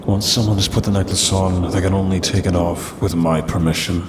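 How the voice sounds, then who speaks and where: A man's voice: fairly even intonation, fairly quick, close to an everyday voice. A man playing a smug, ancient demon trapped in a cursed necklace in an ASMR roleplay, with haughty, teasing, old-fashioned speech. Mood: smug, menacing. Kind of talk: ASMR audio roleplay acting. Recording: rumbling rain and thunder noise.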